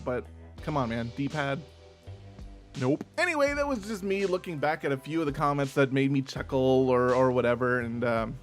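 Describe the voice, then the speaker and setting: A man with a slight lisp hosting a rambling, self-mocking rant about viewer comments, full of dry sarcasm. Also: fairly high pitch. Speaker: YouTube host.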